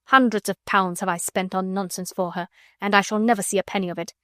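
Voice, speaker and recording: A woman's voice: mid-range voice, fairly even intonation, quick pace, pushed and bright, no echo, natural voice. A stern, cold headmistress, as a woman reads her, gives orders in clipped, scornful tones. The voice sits close and dry, with no room around it.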